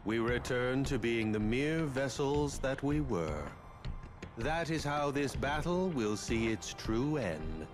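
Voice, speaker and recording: A man playing a cold, scheming, grandiose villain in a video game, with a pompous, measured, ominous manner. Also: medium pitch, animated. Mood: solemn. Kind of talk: dramatic video game voice acting. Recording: loud music behind the voice.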